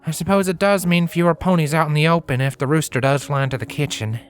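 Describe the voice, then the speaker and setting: A woman's voice: sits low, fairly even intonation, quick pace, lightly acted. Woman as a plainspoken cowgirl pony. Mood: wry. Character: folksy country cowgirl pony, plainspoken mare. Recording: music behind the voice.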